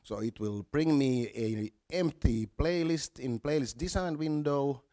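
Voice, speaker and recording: A man walks through software live, one step after another. He speaks slowly at an average pitch, halting and repeating words. The sound is dry, with little room to it. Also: no room sound. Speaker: software demonstrator.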